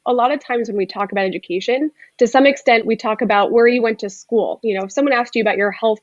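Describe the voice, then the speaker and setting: A woman in her thirties, a startup co-founder, giving clear, explanatory answers in a conversational interview. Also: mid-range voice, no room sound, lo-fi sound. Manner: articulate, explanatory, frequent "you know".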